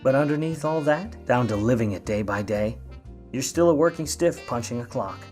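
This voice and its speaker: A man's voice: mid-range voice, lively intonation, moderate pace, darkish tone, faint music behind, lightly acted. Male lead actor: sardonic Hollywood detective for a noir radio play, mid-range voice, faint musical backing. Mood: wry narration. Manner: wry, sardonic, hard-boiled. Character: world-weary, wisecracking male 1950s Hollywood private eye.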